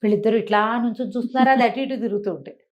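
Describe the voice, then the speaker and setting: A woman's voice: on the high side, fairly even intonation, rapid-fire, lo-fi sound. Woman storyteller, conversational delivery. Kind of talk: oral folk-tale storytelling, conversational.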